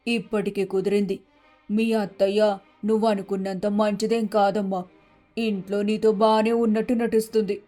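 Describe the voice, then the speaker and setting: A woman's voice: medium pitch, level tone, normal speed, dark tone, soft background music, lightly acted. A woman playing a gossipy, scheming neighbour in a moral story, speaking in an insinuating, confiding way. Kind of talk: scripted storybook acting.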